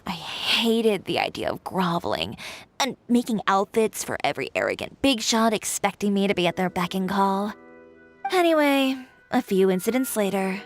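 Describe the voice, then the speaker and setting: Woman as a self-assured designer in a game, mid-pitched, cool and wry, soft music behind. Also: close to an everyday voice. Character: confident young woman fashion designer, proud and stubborn.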